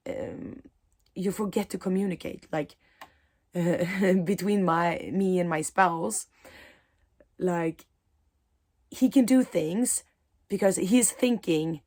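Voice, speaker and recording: A woman who is a mindset coach and spiritual teacher, speaking in a reflective talk to camera, pausing often with soft fillers. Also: on the low side.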